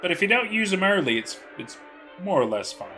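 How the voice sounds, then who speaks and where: A man's voice: high-pitched, very animated, fairly quick, bright, forceful tone, soft background music. A man hosting a livestream, chatting casually and reacting with playful, joking asides. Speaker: livestream host.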